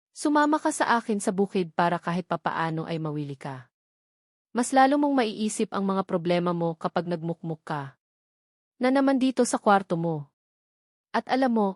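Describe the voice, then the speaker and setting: A woman's voice: mid-range voice, dry recording, clean studio sound, close to an everyday voice. Woman voicing a caring, frank spinster aunt. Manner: gentle, advising.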